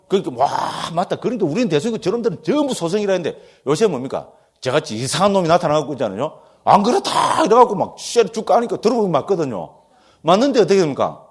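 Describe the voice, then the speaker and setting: A man speaking with a Gyeongsang accent, a Buddhist monk lecturing on doctrine. His voice sits a little high for a man. He keeps checking that his listeners agree, with a lively, teasing tone. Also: breathy edge. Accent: Gyeongsang Korean. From Gyeongsang region, Korea.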